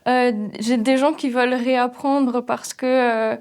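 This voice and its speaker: Earnest young woman, fairly high voice. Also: flat delivery, measured pace, darkish tone, clean tone. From Alsace. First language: Alsatian. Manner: thoughtful, hesitant, earnest. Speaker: Alsatian language teacher. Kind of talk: interview testimony.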